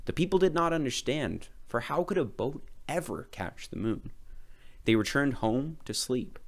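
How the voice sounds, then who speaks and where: A man reading a storybook aloud with expressive, dramatic narration. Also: fairly low pitch. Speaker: storybook reader.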